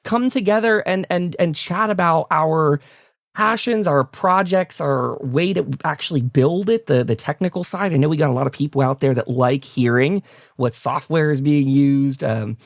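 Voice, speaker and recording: Male host for casual interview show; higher-leaning voice, enthusiastic, dry recording. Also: normal speed, dry recording. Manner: enthusiastic, effusive.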